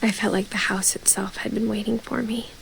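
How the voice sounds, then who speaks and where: A woman's voice: medium pitch, little pitch movement, brisk, vocal fry, a little hiss or hum, natural voice, poor audio. A woman voicing a wistful young narrator. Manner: quiet wistful storytelling. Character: young woman narrator returning to family home. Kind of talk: game narration.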